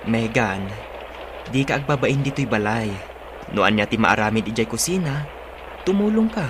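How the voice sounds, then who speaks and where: A man's voice: medium pitch, expressive, moderate pace, background noise, natural voice. A man playing an earnest, dutiful young husband in an Ilocano-accented radio drama.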